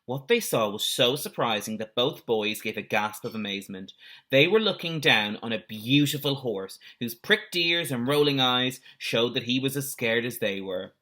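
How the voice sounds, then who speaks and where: A man with a bright, forceful voice and a middling pitch reads a children's adventure story aloud. He sounds like a teacher at storytime, expressive and given to small explanatory asides. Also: moderate pace.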